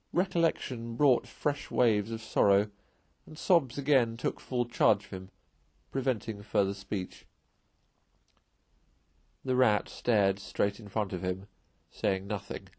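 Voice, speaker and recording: A man reading a storybook aloud as narrator, in an expressive storytelling style. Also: fairly low pitch, no room sound. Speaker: audiobook reader.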